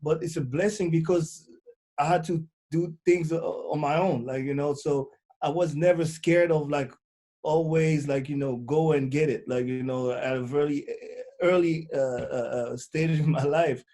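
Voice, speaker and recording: French-accented man, dark and hesitant. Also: on the high side, fairly even intonation. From Congo, born in France. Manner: halting, many fillers and restarts. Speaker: booking agent, guest. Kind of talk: casual interview.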